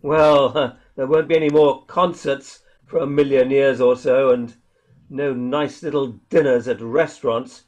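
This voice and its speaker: An actor playing a jumpy, defiant militiaman surviving alone, with a folksy, halting, agitated delivery in a radio play. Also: mid-range voice, fairly even intonation, darkish tone, muffled recording, natural voice. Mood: defiant, scheming. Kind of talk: dramatic radio play dialogue.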